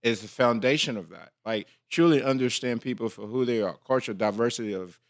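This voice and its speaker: A man's voice: medium pitch, a little fast, no room sound, clean studio sound. A man giving his view earnestly in a casual panel discussion. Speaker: podcast panelist.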